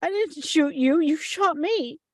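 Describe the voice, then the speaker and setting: High-voiced wounded woman agent, acted by a woman. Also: expressive, a little slow, dry recording. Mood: challenging. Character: wounded, weakened woman agent, fearful yet defiant. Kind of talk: script table read.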